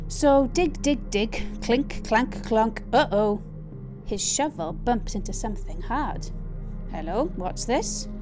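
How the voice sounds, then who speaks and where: A woman reading a children's story aloud with expressive, deliberate, dramatic emphasis on her words. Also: on the high side. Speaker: story reader. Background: music.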